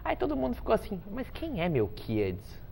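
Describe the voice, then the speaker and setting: Young male Brazilian talk host, playful and joking, fairly high, very animated pitch. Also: moderate pace, gravelly, a little hiss or hum. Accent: Brazilian Portuguese. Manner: joking, teasing. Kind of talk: casual interview chat.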